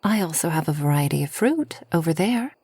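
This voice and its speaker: Low, breathy forest witch, played by a woman. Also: lively intonation, dry recording, exaggerated voice. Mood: welcoming, gentle. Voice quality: soft, breathy, often near whisper. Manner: gentle, unhurried, soothing, slightly formal speech. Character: kind, solitary, long-lived forest witch hosting a traveler. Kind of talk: soft ASMR roleplay.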